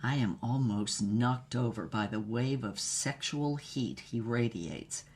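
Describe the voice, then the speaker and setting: A woman playing a worldly, brassy older former starlet who tells racy memoirs in a husky voice, wryly and unhurriedly, with dramatic pauses. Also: rumbling low, darkish tone. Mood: sultry, dramatic. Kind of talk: comic scripted memoir narration.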